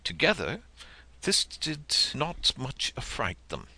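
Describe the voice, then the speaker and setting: A man reading a literary story as an audiobook narrator, in a measured, expressive, dramatic manner. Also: mid-range voice.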